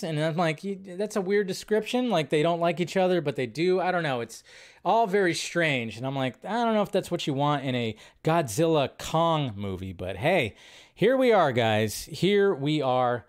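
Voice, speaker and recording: A man hosting a casual live movie show, giving rambling, sardonic reactions and commentary with loose, sweary language. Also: a little high.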